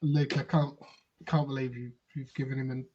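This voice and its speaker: A man joining a game stream, making dry jokes with trailing pauses and filler sounds. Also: mid-range voice, flat delivery. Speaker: player. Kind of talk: casual banter. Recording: dull lo-fi mic with faint hiss.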